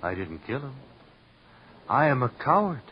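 A man plays a nervous, theatrical musician in an old radio crime play. His florid speech leaps up and down with excitable, philosophical flourishes. The sound is dull and muffled, like a vintage broadcast. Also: mid-range voice, moderate pace, slight background noise, lightly acted. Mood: wry, philosophical. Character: nervous, philosophical male musician, a self-confessed coward. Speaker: radio actor. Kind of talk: 1940s-50s radio drama acting.

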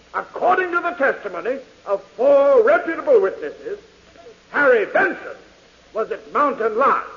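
An actor in an American 1940s radio drama playing a formal, declamatory defense lawyer in court. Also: male voice, very high-pitched, fairly bright tone, exaggerated voice. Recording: muffled, reverberant old radio recording.

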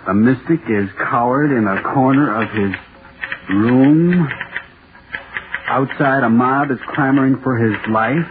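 Haunted playwright, played by a male actor: frantic, repetitive, theatrical 1930s horror radio, mid-pitched, thin noisy transmission. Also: unhurried, narrow, tinny audio, lightly acted. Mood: dramatic recitation. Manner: stammering, repeating himself frantically. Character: terrified radio playwright haunted by his own monster.

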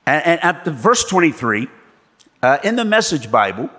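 A man preaching a sermon as pastor and missionary, telling stories with animated delivery and acting out the people in them. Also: a little high, moderate pace. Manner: animated storytelling preacher, acts out voices in quotes. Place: church. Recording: long reverb of a church hall.

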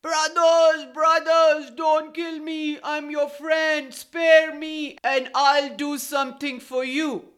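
A woman voicing a sly, threatening male fox in a children's story, loud and pleading when scared. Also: on the high side, expressive, takes it slowly.

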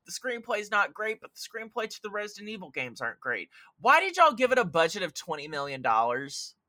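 A man's voice: sits high, sing-song, fairly quick, sharp tone. A man chatting casually about films as a podcast guest, opinionated, emphatic and effusive, with rambling asides. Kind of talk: casual film chat.

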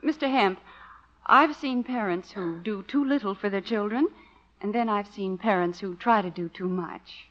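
An actress playing a composed, earnest schoolteacher, polite and gently admonishing, in 1950s radio comedy. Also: female voice, mid-range voice, normal speed, natural voice. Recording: dull old 1950s radio recording.

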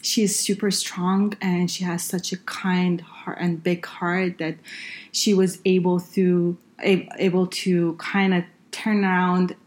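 A woman from Iran, Farsi her first language, a guest in a casual podcast interview, speaking reflectively with hesitations and soft laughs. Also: on the low side. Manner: reflective, hesitant with many fillers, laughs softly. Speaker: guest engineer.

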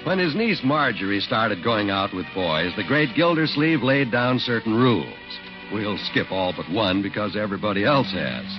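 A man's voice: on the low side, lively intonation, normal speed. A man announcing in scripted 1940s radio style, presenting a show and its sponsor. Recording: dull 1940s radio recording. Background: music.

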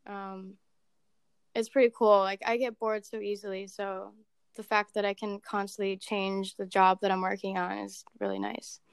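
A woman in her twenties, a podcast guest, chatting casually about her work in a level, monotone way. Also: mid-range voice, normal speed, smooth, no room sound. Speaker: podcast guest, assistant director. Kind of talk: casual chat.

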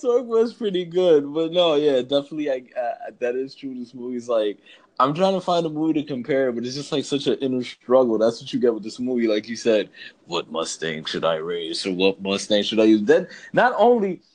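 A man's voice: mid-range voice, lively intonation, brisk, darkish tone. A man co-hosting a podcast, chatting casually in a slangy, rambling way and circling back to agree. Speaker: podcast co-host. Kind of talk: casual movie chat.

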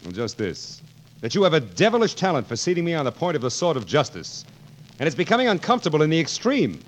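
A man's voice: a little high, wildly swooping pitch, fast. An actor playing a peevish, crafty, weary king of France in 1940s radio style, dry and querulous. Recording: noisy vintage 1940s radio recording.

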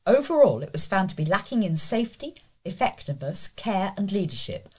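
A woman's voice: medium pitch, darkish tone, breathy edge. A woman reading scripted local news aloud as a volunteer news reader.

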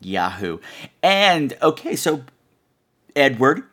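A man's voice: medium pitch, sing-song, slow pace, a bit bright. A man hosting a podcast in a casual, chatty way, playful and exclamatory, often repeating phrases for effect. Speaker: podcast host.

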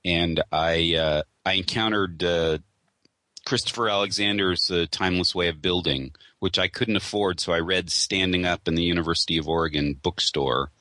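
Man, podcast guest, deep voice, bright forceful tone, dry close sound, thoughtful. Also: moderate pace, no room sound. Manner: thoughtful, searching for words. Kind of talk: casual storytelling on a podcast.

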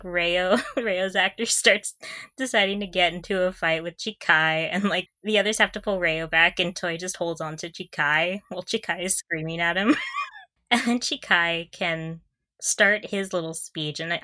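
A woman's voice: on the low side, sing-song, moderate pace, bright, forceful tone, no room sound. A young woman co-hosting a casual fan podcast, talking in a giggly, gushing way. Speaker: podcast co-host.

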